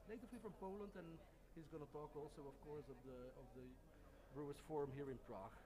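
A man in his forties, a native Dutch speaker, co-hosts in English with a slightly high voice. He halts and searches for words and names. Clear crowd chatter from an outdoor bar fills the background. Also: animated, fast talker, low-quality recording. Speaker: podcast co-host. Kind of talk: casual chat. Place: outdoor courtyard of a busy city bar.

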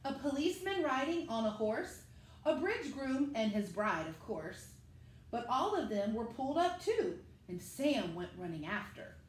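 A middle-aged woman, a school teacher, reading a picture book aloud to children and speaking to them directly in an animated way. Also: on the high side, measured pace. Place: living room at home, indoors. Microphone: camera a few metres away. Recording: echoey room with faint noise.

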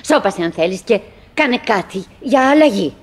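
An actress playing a middle-aged woman in a radio theatre monologue, wistful and doggedly cheerful, musing in halting, repetitive phrases. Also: mid-range voice, expressive, natural voice. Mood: hushed, pensive.

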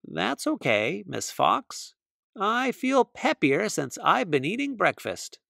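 Cheerful storybook bunny girl, voiced by a man, soft, high, lilting. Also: wildly swooping pitch, slow pace, gravelly, no echo, studio quality, heavily acted. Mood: sweet.

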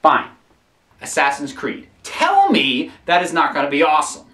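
A man's voice: fairly high pitch, huge pitch swings, moderate pace, bright, forceful tone, full character voice. A young man acting an earnest, stubborn geek who argues insistently in a scripted comedy sketch. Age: young adult. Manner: insistent, emphatic. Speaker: actor in a web comedy sketch. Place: small furnished room indoors. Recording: roomy, mic some distance away.

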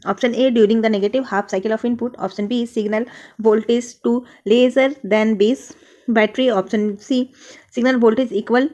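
A woman teacher reads exam questions and answer options aloud. She reads the questions slowly, then explains them quickly in Hindi. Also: fairly high pitch, a little mellow.

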